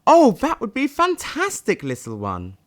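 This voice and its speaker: A man's voice: very high-pitched, huge pitch swings, a little slow, pitched above the performer's own voice, exaggerated voice. A man voicing a friendly, slightly dim female dragon in a storybook, sweet and admiring. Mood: delighted.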